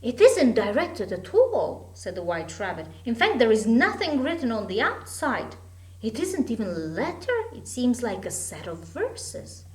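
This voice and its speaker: A woman's voice: a little high, sing-song, echoey room, poor audio. Woman narrator voicing a deferential storybook rabbit, fussy and polite. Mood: explaining. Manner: respectful, hurried.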